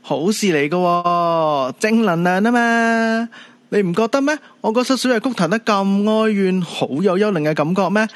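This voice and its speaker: A man's voice: high voice, moderate pace, no room sound, close to an everyday voice. A man with a Hong Kong Cantonese accent playing a cheeky, upbeat young son in a radio drama, probing and matter-of-fact.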